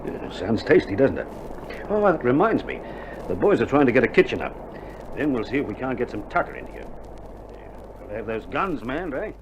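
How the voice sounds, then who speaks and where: A man's voice: medium pitch, sing-song, normal speed, pauses often. A muffled vinyl radio play with faint noise. an Australian army orderly, a wry and reassuring man whose voice swings widely through laconic, joking banter. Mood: chatty, wry. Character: wry, reassuring army male nurse in a wartime field hospital. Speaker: actor in a radio war drama. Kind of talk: 1960s radio drama acting. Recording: old vinyl recording, dull band, faint noise.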